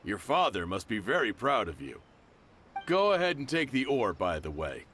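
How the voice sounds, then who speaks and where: A man's voice: fairly low pitch, wildly swooping pitch, moderate pace, fairly bright tone, slight background noise. A man voicing a generous, chuckling middle-aged shopkeeper and father in a game dub. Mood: kindly. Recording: game audio with music behind.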